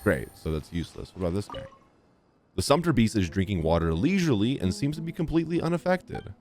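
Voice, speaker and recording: A man's voice: fairly low pitch, very animated, fast talker, darkish tone, a little hiss or hum. A young man doing casual let's-play commentary, reading game text aloud and reacting with sarcastic asides over game music. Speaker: gaming streamer.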